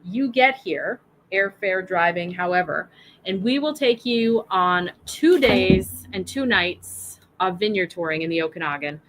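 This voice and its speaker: A woman with a bright, forceful voice chats directly to her viewers while hosting a prize draw. She is casual and apologetic, and there is faint noise behind her. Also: lowish voice, a little slow. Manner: chatty and apologetic, talking straight to viewers. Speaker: livestream host running a prize draw. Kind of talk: casual livestream chat.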